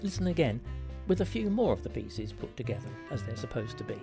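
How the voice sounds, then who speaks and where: A man's voice: mid-range voice, sing-song, a little fast, creaky, over music. A man presenting a scripted quiz segment in a wry, radio-style manner.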